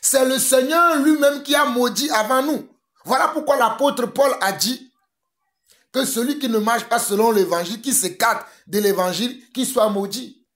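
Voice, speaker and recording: A man's voice: extremely high pitch, normal speed, fairly bright tone. A man from Togo, preaching a fervent sermon that mixes prayer with urgent exhortation and repetition. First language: a Togolese language.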